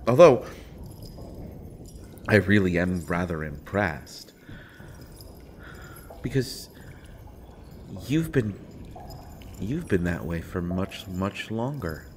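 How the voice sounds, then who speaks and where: A man playing a sardonic imprisoned villain in an audio roleplay, taunting with theatrical, mocking, deliberate phrasing. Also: low-pitched, big pitch swings, slight background noise, full character voice. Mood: mock-impressed. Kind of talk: scripted audio roleplay acting.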